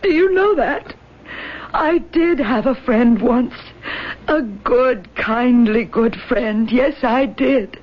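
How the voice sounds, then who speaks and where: A woman's voice: a little high, slow. An actress playing a distraught, abandoned young mother with theatrical, pleading grief in an old radio drama. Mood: tearful, desperate. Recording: muffled old radio broadcast recording.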